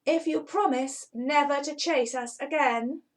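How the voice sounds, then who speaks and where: A woman voicing a cross, stern male rabbit who talks in a brisk, emphatic way. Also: sits high, voice pushed up.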